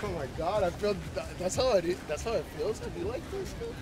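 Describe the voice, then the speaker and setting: A man's voice: sits high, wildly swooping pitch, fast, over a noisy crowd. A man in an outdoor beachfront park, rambling in a mocking, provocative way. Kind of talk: improvised street banter.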